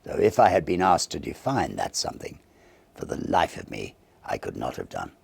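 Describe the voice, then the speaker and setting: A man reading a Victorian ghost story as an audiobook narrator, theatrical and expressive, with deliberate phrasing. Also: very deep voice, creaky, a little hiss or hum.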